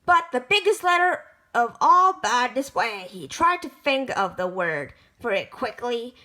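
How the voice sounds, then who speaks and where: A woman reading a children's picture book aloud, storytelling with lively emphasis and the occasional stumble. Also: on the high side, a little slow.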